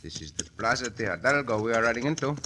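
An actor playing a courteous, commanding Mexican cowboy adventurer in an old-time radio western, with a Mexican accent. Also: male voice, mid-range voice, lively intonation, quick pace, sharp tone. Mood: brisk, confiding. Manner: polite, commanding, sprinkles in Spanish. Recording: noisy vintage radio recording.

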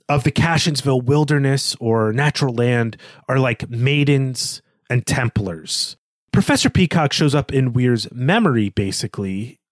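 A man's voice: medium pitch, no room sound, clean studio sound. A man co-hosting a literary discussion, explaining in an organized way and signposting each point as he goes. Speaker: podcast co-host.

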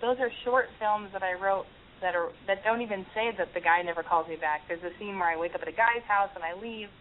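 A woman hosting a podcast, chatting with a caller and then telling a story in a talkative way, with lots of restarts and "like". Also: mid-range voice. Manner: talkative, quick restarts, frequent "like". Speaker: podcast host. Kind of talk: casual call-in chat and storytelling. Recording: phone-like narrow band with faint hiss.

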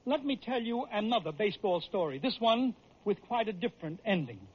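A high-pitched, husky American man narrates sports tales like a classic radio host. His delivery is theatrical and emphatic, captured on a dull vintage radio recording. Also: lively intonation, brisk. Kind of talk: dramatic scripted narration, old-time radio.

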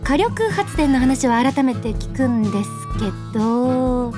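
A woman's voice: high-pitched, slow, over music, full character voice. An actress playing a polite, easily flustered young female office worker in a Japanese radio drama, speaking expressively. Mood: polite, hesitant. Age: young adult. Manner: polite junior speech, expressive. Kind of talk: Japanese radio drama acting with narrated asides.

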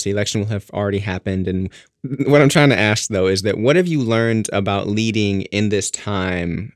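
A man hosting a podcast interview, asking careful, gently framed questions. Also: on the low side, dry recording, studio quality.

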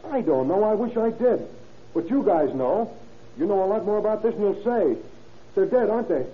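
A man's voice: high voice, lively intonation, moderate pace. An actor playing an evasive, easily agitated young American man under suspicion in an old-time radio crime drama, deferential but defensive. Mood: agitated, pleading. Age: young adult. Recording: muffled, noisy vintage radio recording.

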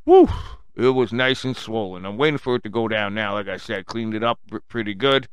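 A man's voice: lowish voice, fairly quick, clean tone, no echo. A man with a strong Long Island, New York accent, rambling casually to his listeners as a solo host in a blunt, profane, slangy way. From Long Island, New York, United States. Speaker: podcast host addressing listeners.

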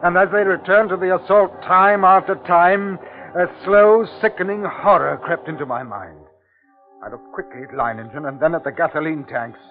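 Higher-voiced grave commissioner, old radio. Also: male voice. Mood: grave, ominous. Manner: grave, measured, theatrical. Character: anxious, earnest male government commissioner, narrating the ordeal. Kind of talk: 1950s radio drama acting and dramatic narration. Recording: tinny 1950s radio recording with music.

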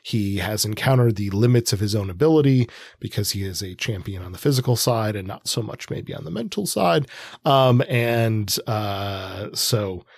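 Male co-host, lowish husky voice, informal and halting book talk, dry close-up sound. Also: expressive, dry recording. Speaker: podcast co-host. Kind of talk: casual conversational book discussion.

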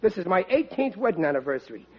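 A blustering, trusting American husband in a vintage radio sitcom. His voice is high for a man and rough, swinging widely as he stammers and protests in bad grammar. The recording is old and dull. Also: big pitch swings, fast, full character voice. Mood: stubbornly trusting. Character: gullible, blustery working-class husband and father. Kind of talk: 1940s radio sitcom acting.

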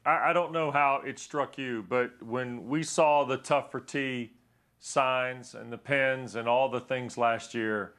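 A male sports broadcaster with a mid-range voice who stumbles over his opening words before settling into a long question. He sounds steady once he gets going. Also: medium pitch, clear, resonant tone. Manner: halting starts, repeats words. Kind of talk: interview question.

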